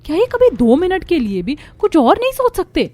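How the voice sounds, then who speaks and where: A woman's voice: very high-pitched, wildly swooping pitch, brisk, a little mellow, soft background music, pitched above the performer's own voice, full character voice. A woman voicing a scheming, put-upon young daughter-in-law in a cartoon story, complaining dramatically. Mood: exasperated.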